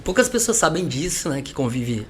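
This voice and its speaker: A man's voice: fairly high pitch, big pitch swings, moderate pace, slightly rough. A man from Salvador, a musician and teacher, telling his story as a podcast guest in a chatty, reflective way with light laughs. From Salvador, Brazil. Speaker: musician and vocal coach guest. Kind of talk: podcast interview.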